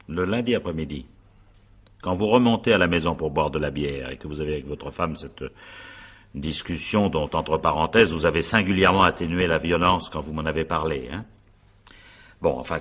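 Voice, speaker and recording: Husky, deep-voiced insistent magistrate. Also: male voice, sharp tone, heavily acted. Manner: probing, insistent, with pointed tag questions. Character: insistent examining magistrate questioning two brothers. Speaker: examining magistrate. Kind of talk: French radio crime drama acting.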